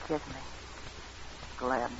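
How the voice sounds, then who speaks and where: A woman's voice: very slow, background noise. An actress playing a skeptical, wry, sultry woman accomplice in a 1940s radio crime drama. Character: wary, sultry female accomplice of a con man. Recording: dull old radio recording.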